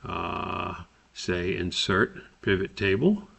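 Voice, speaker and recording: A man with a low, creaky voice walks through a software tutorial step by step. He speaks informally and haltingly, thinking aloud at an unhurried, slow pace. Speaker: tutorial presenter. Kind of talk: informal software screencast walkthrough.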